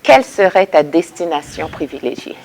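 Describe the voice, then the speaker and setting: A woman hosting an interview, chatty and lively, reading out questions for her guest. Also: sits low, moderate pace, low-quality recording. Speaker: host. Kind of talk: casual interview chat.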